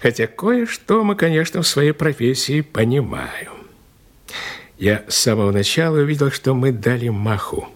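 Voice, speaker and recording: An actor playing a dutiful police detective inspector in a radio drama, with a husky voice and an official, polite manner. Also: male voice, mid-range voice, expressive, natural voice. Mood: relaxed, confiding. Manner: official, measured, deferential. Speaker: actor in an audio play. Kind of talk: Russian radio drama acting.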